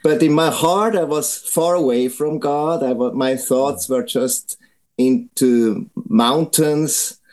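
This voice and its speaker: Mellow-voiced Swiss man sharing his testimony. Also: on the high side, slow. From Switzerland. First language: Swiss German. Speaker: missionary guest. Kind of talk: interview, personal testimony.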